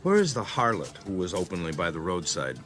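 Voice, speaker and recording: Earnest biblical messenger, lowish voice with lively swings, faint music. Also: male voice, brisk, heavily acted. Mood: puzzled, earnest. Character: Adullamite friend, earnest male errand-runner. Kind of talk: dramatized audio Bible.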